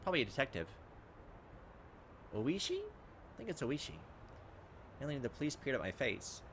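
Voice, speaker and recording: A man's voice: medium pitch, huge pitch swings, moderate pace, fairly bright tone, slight background noise. A man hosting a let's play, reading game text aloud and adding casual, wry asides. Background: game music.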